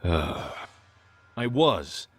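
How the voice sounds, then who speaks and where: A man's voice: deep voice, very animated, drawn-out words, husky, heavily acted. A man playing a huffy, defensive, haughty god in a fantasy audio drama. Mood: indignant. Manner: indignant, haughty. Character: huffy, defensive male god of machine-making. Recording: music under the voice.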